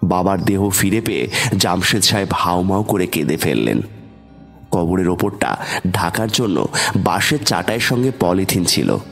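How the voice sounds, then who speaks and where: Male narrator, lowish pitch, suspenseful storytelling, scripted horror tale, light background music. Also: big pitch swings, normal speed, gravelly, a bit bright.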